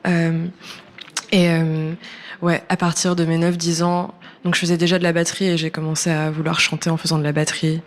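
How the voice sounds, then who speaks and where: A woman's voice: sits low, flat delivery, fairly quick. A woman in her early twenties, a native English speaker, chatting casually in a radio interview, hesitant and informal, often trailing off. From born in New York, French-American. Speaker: musician, singer guest.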